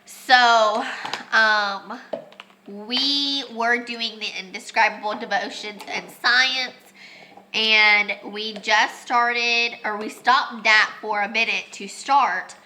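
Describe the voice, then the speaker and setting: A woman's voice: a little high, steady tone, slow pace, pushed and bright, slight background noise, rough recording. A young woman, congested and losing her voice, chatting casually with many ums as she vlogs a homeschool lesson. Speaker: homeschooling mom. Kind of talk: casual home vlog lesson. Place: family home with children.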